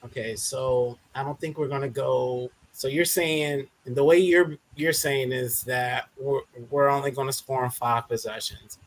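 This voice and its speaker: A man co-hosting a casual football podcast, animated and joking, laughing often. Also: mid-range voice, measured pace, hardly any pauses, low-quality recording. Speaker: podcast co-host. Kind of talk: casual sports podcast chat.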